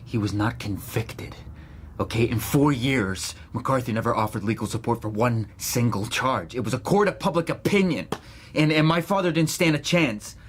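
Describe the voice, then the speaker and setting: An actor playing a defensive, gullible young American graduate student in a radio drama, with halting, stammering speech. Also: male voice, medium pitch, lively intonation, husky. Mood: defensive, heated. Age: young adult. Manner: halting, stammering when worked up. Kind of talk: naturalistic radio drama acting.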